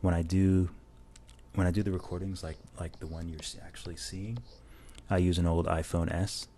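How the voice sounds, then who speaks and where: A man narrating a drawing tutorial as a casual voice-over, talking in a relaxed, rambling way with many restarts, recorded on a lavalier mic plugged into a phone. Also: moderate pace. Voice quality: low voice.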